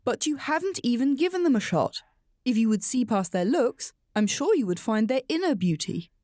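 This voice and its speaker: A woman's voice: fairly high pitch, sing-song, moderate pace, dark tone, dry recording, clean studio sound. A woman playing a weary, exasperated but patient mother in a radio drama, chiding as she speaks. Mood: gently chiding.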